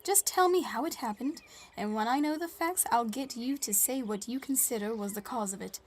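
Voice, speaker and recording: A woman's voice: on the high side, normal speed, natural voice. An actress playing a polite, inquisitive newspaper reporter in an audio drama, formal and articulate as she narrates and questions.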